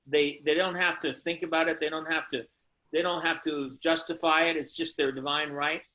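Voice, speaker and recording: A man hosting a conference call, giving an emphatic, earnest and preachy motivational sales pitch and putting questions to his listeners. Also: fairly even intonation, moderate pace, pushed and bright, low-quality recording.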